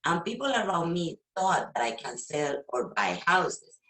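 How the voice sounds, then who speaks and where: A Venezuelan woman, a real estate agent whose first language is Spanish, talking casually in an interview with many fillers and pauses. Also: lowish voice, big pitch swings, fairly bright tone, echoey room, rough recording. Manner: hesitant, with frequent fillers and laughs.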